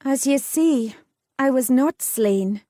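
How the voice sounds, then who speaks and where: A woman's voice: high voice, animated, takes it slowly, warm tone, dry recording, clean studio sound, pitched above the performer's own voice. A woman voicing a wry, headstrong young noblewoman, speaking in a lilting, old-fashioned way.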